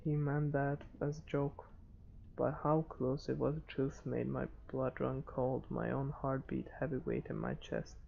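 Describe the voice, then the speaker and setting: A man hosting a gaming video, reading visual novel narration aloud in a halting way with stumbles. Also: mid-range voice, flat delivery, gravelly. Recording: dull lo-fi microphone, faint noise.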